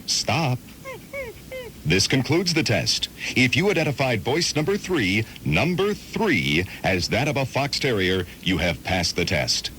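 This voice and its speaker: A man reading a formal, deadpan mock-official radio commercial announcement on an old rumbly aircheck. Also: a little slow, a little hiss or hum.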